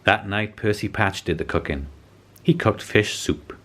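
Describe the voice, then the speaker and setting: A man reading a children's story aloud as an expressive, deliberate narrator. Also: on the low side. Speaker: storybook reader.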